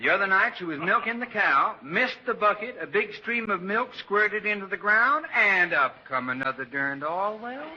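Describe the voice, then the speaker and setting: A noisy, muffled old radio recording of a Texas oil tycoon. He is proud and boastful, with a high voice that swoops through a folksy Texan drawl. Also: animated, moderate pace, few pauses. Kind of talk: 1940s radio comedy acting.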